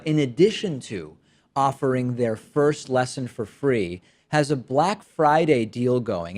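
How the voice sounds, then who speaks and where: Man, political show host, native Spanish speaker, sardonic and emphatic, mid-pitched voice. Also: a little mellow. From Spanish-speaking country, raised in the United States from childhood. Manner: reads a sponsor ad. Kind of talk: political commentary monologue.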